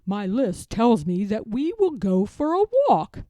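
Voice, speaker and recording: A woman's voice: medium pitch, big pitch swings, a little slow, warm tone, no room sound. A woman voicing a fussy, literal-minded male toad in a children's storybook, emphatic and easily upset. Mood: pleased, earnest. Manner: emphatic, dramatic, wailing when upset.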